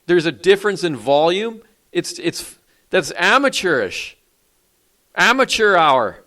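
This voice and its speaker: A man hosting a call-in show, brisk and dry, dismissive of prank callers. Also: high-pitched, big pitch swings, pushed and bright.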